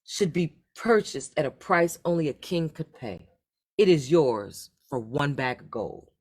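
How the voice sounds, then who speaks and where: A woman's voice: low voice, sing-song, measured pace, breathy edge, full character voice. A woman voicing a hopeful eldest brother in a storybook folktale, speaking earnestly and with emphasis. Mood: confident.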